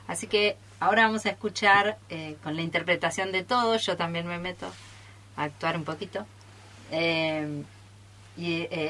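A woman's voice: on the low side, a bit bright, a little hiss or hum. A woman with an Argentine accent casually introducing a radio theatre piece live as its host and workshop leader.

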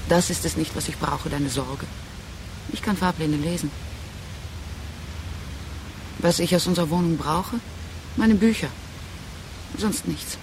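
A woman's voice: sits low, a little slow, long pauses, noisy background. An actress in a radio drama playing a proud, reproachful woman leaving her partner, insistent and speaking in clipped, accusing phrases. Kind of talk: restrained radio drama dialogue.

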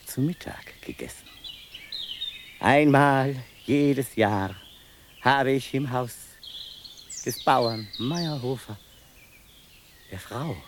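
An actor playing an institution inmate, a former priest, who formally and solemnly confesses grim deeds in a literary radio drama monologue. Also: male voice, on the low side, background noise, heavily acted. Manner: deliberate, formal, solemn recitation.

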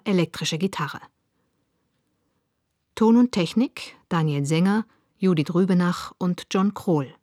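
Woman radio announcer, formal scripted read, slightly low pitch, unhurried, dry studio sound. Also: a little mellow, no room sound. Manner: measured, formal. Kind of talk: formal scripted credits reading.

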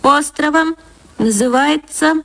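A woman's voice: sits high, slow pace, gravelly, fairly bright tone, pitched above the performer's own voice, heavily acted. A woman voicing a cheerful, curious nine-year-old boy in a radio story, eager and innocent. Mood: reciting.

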